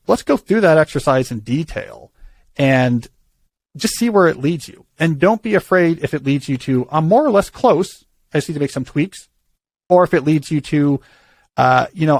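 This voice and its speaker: Measured man advising a caller. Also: on the high side, animated, no echo. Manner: thoughtful, talks through ideas with frequent restarts. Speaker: podcast host giving career advice. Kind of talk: conversational advice on a call-in show.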